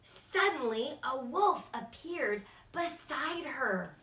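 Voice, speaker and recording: A woman's voice: high voice, lively intonation, slow pace, fairly bright tone. A woman narrating a fairy tale for children as a storyteller. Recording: echoey room, distant from mic.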